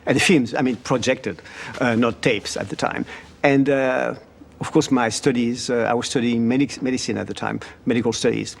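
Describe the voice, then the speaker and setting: A man's voice: medium pitch, fairly even intonation, normal speed, gravelly, slight background noise. A male cinematographer in an interview, self-deprecating and full of fillers as he recalls his studies. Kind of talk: interview recollection.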